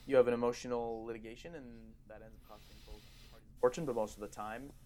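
A man's voice: mid-range voice, steady tone, fast, warm tone. A man co-hosting a casual podcast, explaining points emphatically with repeated starts. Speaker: podcast co-host.

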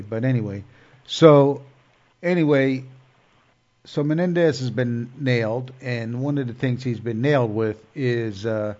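A man's voice: fairly low pitch, a little mellow. A man with a cold and a stuffed-up nose, hosting a show in a rambling casual monologue full of fillers and restarts. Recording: dull lo-fi sound.

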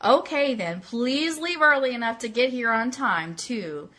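A woman hosting a casual live fan show, chatting and reading aloud in an excitable, informal, giggly way. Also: on the high side, measured pace. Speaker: host of an Elvis fan radio show.

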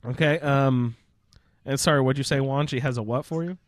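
A man's voice: mid-range voice, moderate pace, no room sound, studio quality. A man hosting a casual roundtable chat about a video game, steering the group with questions. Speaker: podcast host moderating a roundtable.

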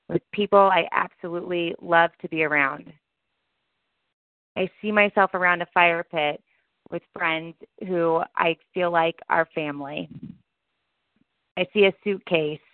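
Woman, lowish voice, affirming scripted visualization read, thin phone-call sound. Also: no room sound. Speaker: speaker reciting a personal vision. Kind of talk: scripted first-person visualization narration. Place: telephone call. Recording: tinny phone conference line.

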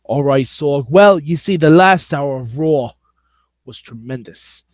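Man putting on a high-pitched pompous English gentleman. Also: unhurried, no room sound, clean studio sound, natural voice. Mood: haughty. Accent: put-on English.

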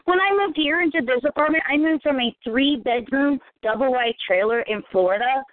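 American woman podcast host, forties, squeaky high voice, animated casual storyteller, phone-like sound. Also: brisk, dry recording. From Cincinnati, Ohio, United States. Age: 40s. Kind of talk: casual chat. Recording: thin phone-like audio, dry.

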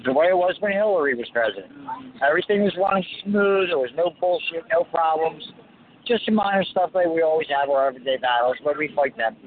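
A man's voice: a little high, quick pace. Blunt man on a tinny street recording. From France. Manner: blunt, crude, opinionated. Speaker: interviewee at a protest. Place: outdoors on a city street. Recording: tinny phone line with street noise.

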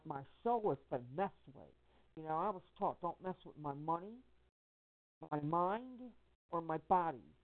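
Female caller, lowish voice, narrow phone-line sound, emphatic and halting, impassioned testimony with repeated phrases. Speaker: caller on a conference call. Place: telephone conference call.

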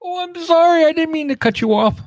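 A man's voice: extremely high pitch, expressive, a little fast, no echo, clean studio sound. A man co-hosting a podcast in casual, comic banter. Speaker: podcast co-host.

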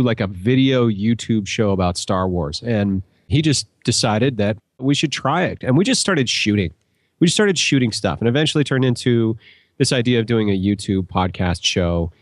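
A man's voice: fairly low pitch, lively intonation, moderate pace, no room sound, clean studio sound. A middle-aged American man chatting casually as a podcast guest, telling anecdotes.

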